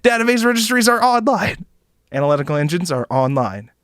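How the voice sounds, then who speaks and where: A man's voice: high voice, very animated, brisk, a bit bright, dry recording, clean studio sound, voice pushed up. A man voicing a spaceship's formal, mechanical computer reciting system status in a clipped, procedural way. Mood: robotic, procedural.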